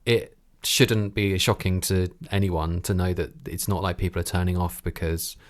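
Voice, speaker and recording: Male podcast host, deep register, asks questions in a casual, slightly halting and considered way. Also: fairly even intonation. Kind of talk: casual conversational interview.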